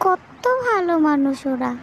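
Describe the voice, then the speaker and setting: A trusting, kindly female ghost from a cartoon, played by a woman. The voice is very high and eager, almost naive, with faint noise behind it. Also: animated, unhurried, gravelly, heavily acted. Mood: hopeful.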